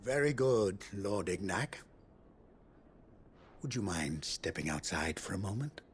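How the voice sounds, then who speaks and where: A man's voice: sits low, animated, unhurried, slight background noise. A man playing a deferential, patient manservant in a video game, speaking with formal, obliging eloquence. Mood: soothing, apologetic. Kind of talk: video game cutscene acting.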